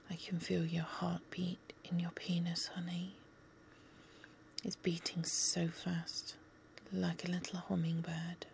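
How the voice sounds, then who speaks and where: Female ASMR whisperer, low fry-edged whisper, unhurried, coaxing yet firmly in control. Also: slight background noise. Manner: soothing, coaxing, commanding.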